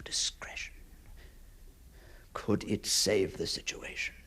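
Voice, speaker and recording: An actor playing a haunted, obsessive gentleman telling his own ghost story as a theatrical, halting radio monologue that often sinks toward breathy whispers. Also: low-pitched, heavily acted. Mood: haunted, hushed.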